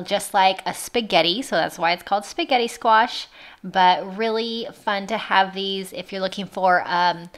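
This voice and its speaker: A woman's voice: medium pitch, fairly bright tone. Chatty woman in her home kitchen. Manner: chatty and upbeat, many ums. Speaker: cooking vlogger. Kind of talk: casual cooking demonstration.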